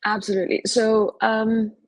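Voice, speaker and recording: A woman's voice: a little high, little pitch movement, normal speed, no echo. A young woman from Lebanon, a filmmaker answering interview questions in a reflective way, with many fillers and restarts. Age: young adult. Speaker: filmmaker guest.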